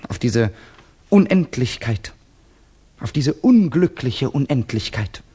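Radio drama casting: young Russian student, mid-range voice, brooding loner, bitter and proud, rhetorical intensity. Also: male voice, medium pitch, big pitch swings, slow, dark tone, slightly rough, full character voice. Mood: impassioned, brooding. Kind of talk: 1960s German radio drama acting.

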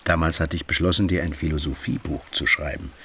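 An actor playing a calm, reflective middle-aged father in a radio drama. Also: rumbling low, a little fast, slight background noise, heavily acted. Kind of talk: German radio drama acting.

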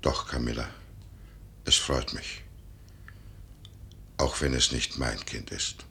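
A man playing a quiet, gentle, resigned husband in a German radio play, speaking with grave restraint. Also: extremely low pitch, slow, gravelly, breathy edge, full character voice. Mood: tender, resigned.

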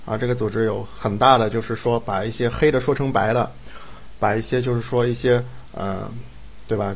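A man's voice: on the low side, vocal fry. A man, a drama director, explaining casually in a rambling way, repeating his phrases. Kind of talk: casual explanation in a group chat.

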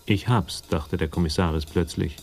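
Low, gravelly crime story narrator. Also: male voice, fairly even intonation, normal speed, a little mellow, soft background music. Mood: calm, storytelling. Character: composed male narrator of a detective story. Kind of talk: scripted narration in radio drama.

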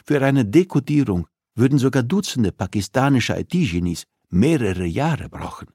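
A man's voice: mid-range voice, lively intonation, moderate pace, dark tone, breathy edge, dry recording, clean studio sound, voice pushed up. A man narrating an audiobook, voicing a coolly persuasive, threatening female criminal who interrogates with insistence and condescension.

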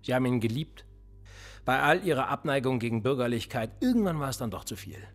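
An actor playing a cold, probing police interrogator in a radio drama, needling and calm. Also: male voice, mid-range voice, fast talker. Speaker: police investigator.

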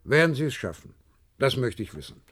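Skeptical superior, patronizing, hemming, pointed, mid-pitched, very lively intonation, radio drama. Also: male voice, brisk. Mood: stern, skeptical. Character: patronizing male office boss, skeptical and probing. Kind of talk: German science-fiction radio play acting.

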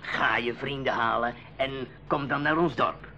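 A bright-voiced, effusive island guru. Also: male voice, mid-range voice, measured pace, creaky. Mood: warmly formal. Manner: ceremonious, flowery, hospitable speech. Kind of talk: 1980s school radio drama acting.

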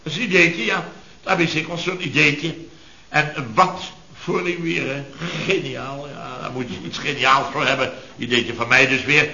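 Een acteur die een mopperende, opschepperige en zielige rijke aannemersbaas speelt, pompeus en nadrukkelijk, in een oude radiokomedie. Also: male voice, a little high, steady tone, moderate pace, pushed and bright. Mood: smug, jovial. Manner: pompous, grumbling, emphatic, repeats himself. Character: blustery, self-pitying, boastful rich building contractor boss. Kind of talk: 1970s radio comedy acting. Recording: dull, roomy vintage radio recording.

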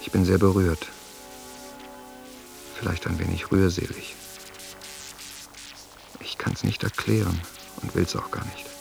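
Introspective diary monologue in a radio play, low really creaky voice, music behind. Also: male voice, flat delivery, full character voice. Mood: tender, reflective. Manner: introspective, measured. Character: reflective, moved man reading his diary.

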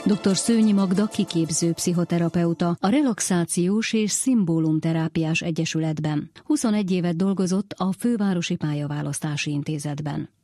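A woman's voice: on the low side, hardly any pauses, warm tone, no echo. A woman reading scripted narration as the presenter of a radio programme, with music behind her.

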